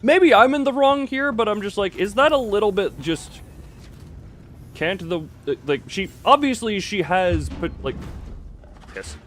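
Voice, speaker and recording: A man livestreaming a game, chatting casually in a halting, rambling way as he thinks aloud. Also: high-pitched, animated, fairly bright tone. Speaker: livestream host. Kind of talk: casual chat while playing a horror game live. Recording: clear background noise.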